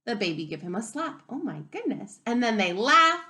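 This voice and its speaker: A woman reading a picture book aloud to young children as a teacher, expressively, pausing to wonder aloud and ask them questions. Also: fairly high pitch. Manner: expressive, wondering aloud, inviting listeners to reflect.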